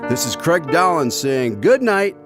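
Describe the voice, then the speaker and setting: Mild, lively-pitched radio drama guest. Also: male voice, measured pace, music in the background. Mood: announcing. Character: mild, good-natured guest of the doctor. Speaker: narrator and credits announcer. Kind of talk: scripted radio drama acting and closing credits.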